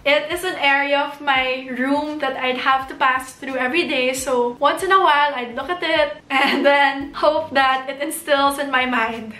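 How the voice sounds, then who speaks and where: A woman's voice: high-pitched, bright, forceful tone. A young Filipino woman in her twenties giving chatty, upbeat study tips in a vlog from her bedroom, her voice getting worn. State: losing her voice. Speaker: vlogger giving test tips. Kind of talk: casual advice vlog. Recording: echoey room.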